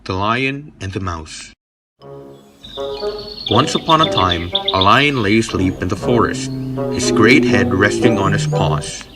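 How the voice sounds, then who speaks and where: A man's voice: on the low side, slow. A man narrating a scripted storybook tale as the storyteller. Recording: loud music behind the voice.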